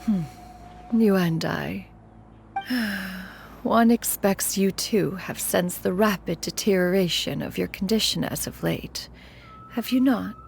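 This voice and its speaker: An ancient crane spirit who speaks with cool, formal detachment, calling herself "one." Her middle-height voice has a gravelly edge. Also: medium pitch, slow, a little hiss or hum. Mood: solemn. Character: aloof ancient crane spirit, female mentor. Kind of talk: game cutscene voice acting.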